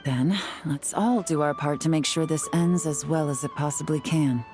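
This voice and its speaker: Woman as pragmatic female investigator, low pitch, cool, matter-of-fact, video game dialogue, background music. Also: normal speed, close to an everyday voice.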